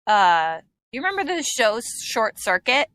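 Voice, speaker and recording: A woman's voice: on the high side, sing-song, a little slow, fairly bright tone. A woman comedian chatting as a guest on a podcast, reminiscing with plenty of fillers. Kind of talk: casual podcast interview.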